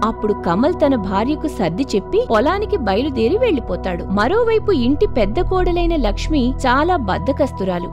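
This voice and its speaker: Female story narrator, bright high register with animated intonation over clear music.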